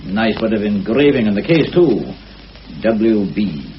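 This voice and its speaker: Muffled, deep-voiced police inspector. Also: male voice, animated, a little slow. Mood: probing, wry. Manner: probing, courteous, wry. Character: shrewd, genial male police inspector. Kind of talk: old British radio drama acting. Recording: muffled, noisy old radio recording.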